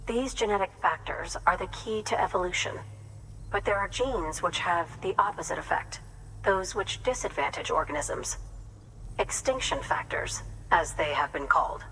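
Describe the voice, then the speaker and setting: An actress playing a studious, grateful scientist in a video game, speaking in a formal, measured, erudite way. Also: female voice, fairly low pitch, animated, fairly bright tone, a little hiss or hum, poor audio. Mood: earnest, musing. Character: studious, grateful female scientist researching tar and extinction. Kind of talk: dramatic game dialogue, expository.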